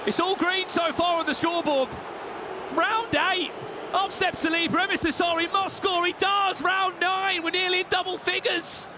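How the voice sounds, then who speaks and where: High-pitched shouting esports caster, a man. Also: moderate pace, noisy background. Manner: excitable, shouting at big moments. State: losing his voice. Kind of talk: play-by-play commentary.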